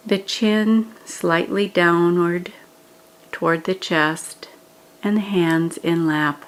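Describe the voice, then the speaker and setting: A woman leading a guided meditation, giving scripted instructions in a gentle, measured manner with pauses. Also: on the low side, steady tone, low-quality recording.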